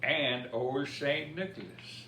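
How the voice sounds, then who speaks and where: A man reads a picture book in Cajun dialect verse for story time, taking his time and telling it expressively. His voice sits at a middling pitch. The recording is echoey and picked up from some distance, with faint noise. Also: slow pace, bright, forceful tone.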